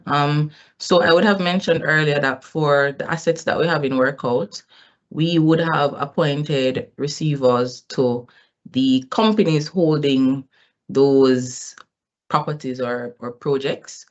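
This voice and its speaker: Through slightly dull, lo-fi call audio, a company executive speaks at a middling pitch. The delivery is explanatory, with formal business wording, answering questions on an earnings call. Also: steady tone, slow.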